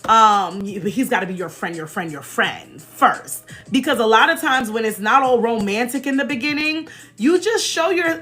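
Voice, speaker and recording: Bright, high-voiced playful American woman. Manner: animated, playful, teasing, laughs often. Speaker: livestream host. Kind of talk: casual, chatty storytelling to livestream viewers. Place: small indoor room in front of a banner.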